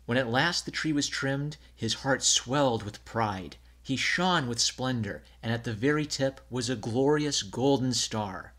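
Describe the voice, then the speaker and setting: Guy reading a kids storybook aloud, scripted narration, normal mid pitch. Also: unhurried, hardly any pauses, a bit bright.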